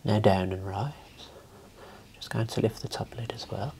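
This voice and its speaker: A man speaking softly, mostly in a whisper, calmly giving reassuring instructions as an optometrist in an ASMR eye exam roleplay. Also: lowish voice, normal speed, vocal fry, a little hiss or hum.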